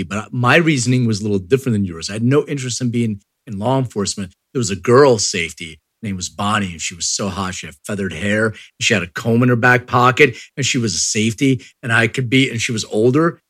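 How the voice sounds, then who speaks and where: A man's voice: on the low side, a bit bright, breathy edge, no room sound. A man hosting an interview, asking rambling, jokey questions in a casual chat. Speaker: interviewer, host.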